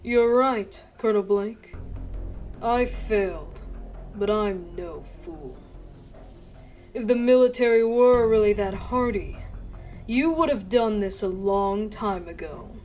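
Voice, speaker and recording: A woman playing a bitter, calculating male former research director in a dramatic fan dub, speaking in a measured, persuasive way. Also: medium pitch, expressive, a little mellow. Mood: cold, persuasive. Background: background music.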